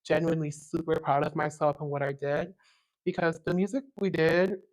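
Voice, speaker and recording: A young man with a very high tenor voice, hosting a casual podcast chat in an eager, enthusiastic way. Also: steady tone, poor audio. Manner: eager, enthusiastic, quick to agree. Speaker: podcast host.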